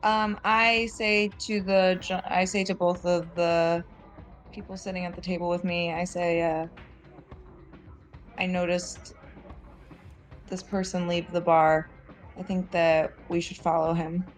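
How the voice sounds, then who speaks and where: Woman player in a tabletop game, lowish and pretty flat, bit of music behind. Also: a little slow, long pauses. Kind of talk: measured in-character game talk.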